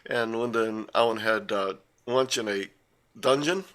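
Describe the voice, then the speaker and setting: A man's voice: on the low side, moderate pace, fairly bright tone. Older man telling rambling travel stories. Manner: rambling, with frequent uh and self-corrections. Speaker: guest presenter. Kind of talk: casual talk with slides.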